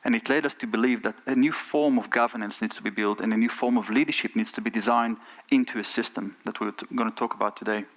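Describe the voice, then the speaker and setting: A man's voice: medium pitch, steady tone, quick pace, a bit bright. Israeli-accented man giving a keynote. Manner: earnest, asks the audience rhetorical questions. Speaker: conference speaker. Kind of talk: keynote talk. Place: conference stage.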